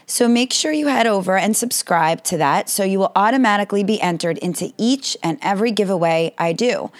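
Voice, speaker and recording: A woman with a slightly raspy voice hosting a solo family-advice podcast, talking earnestly and directly to her listeners. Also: mid-range voice. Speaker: podcast host.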